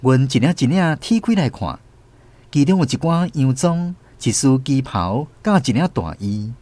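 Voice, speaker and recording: Animated male storyteller with Taiwanese accent. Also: mid-range voice, moderate pace, no echo. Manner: expressive storytelling. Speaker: radio drama narrator. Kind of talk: scripted children's story narration.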